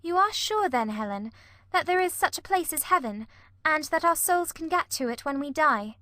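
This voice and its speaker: Young girl played by a woman, audiobook drama: earnest and devoted, girlish high voice, lively pitch, close dry sound. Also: moderate pace, no room sound. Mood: earnest, questioning. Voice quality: soft, girlish. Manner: earnest, pleading, questioning. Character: anxious, devoted young girl at friend's bedside.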